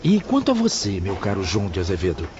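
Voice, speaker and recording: Man playing grave repentant spirit, Brazilian accent, very low pitch, animated theatrical intonation, anguished, audiobook drama. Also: fast talker. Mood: questioning, grave. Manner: grave, theatrical, formal diction. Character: anguished, repentant male spirit confessing past vices. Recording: dull audio, faint ambient noise.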